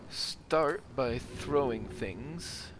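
A man's voice: medium pitch, lively intonation, extremely slow, background noise. A man giving casual gameplay commentary, thinking aloud as he works out his decisions. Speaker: gamer, host of a let's play.

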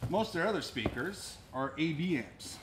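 A man's voice: fairly high pitch, animated, normal speed. A middle-aged man giving a casual, explanatory product demonstration in plain-spoken style, talking as he handles the gear. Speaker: store presenter demonstrating audio gear. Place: indoors in a cluttered audio equipment store. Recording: noisy room recording with clicks.

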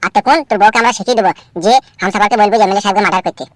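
Man voicing a fast-talking scheming cartoon crook. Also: high-pitched, steady tone, a bit bright. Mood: conspiratorial. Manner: coarse slangy back-and-forth. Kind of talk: comedy cartoon dialogue.

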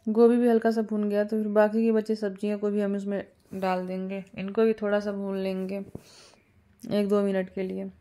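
Woman, cooking host, calm step-by-step explainer, mellow and even delivery. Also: medium pitch, normal speed. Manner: calm step-by-step instructions with small fillers. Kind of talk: home cooking tutorial voice-over.

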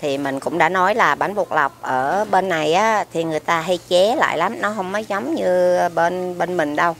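A woman's voice: lowish voice, moderate pace, slight background noise. A middle-aged woman with a Southern Vietnamese accent chats casually to the camera at a restaurant table, filling her talk with fillers and repeated words. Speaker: food vlogger. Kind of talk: casual food review to camera. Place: restaurant table by a window, indoors. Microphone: camera across the table.

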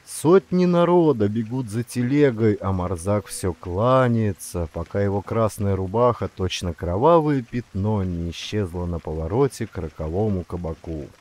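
A man narrating a literary story as an audiobook actor, with deliberate, dramatic phrasing and weighty pauses. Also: fairly low pitch, lively intonation, a little mellow.

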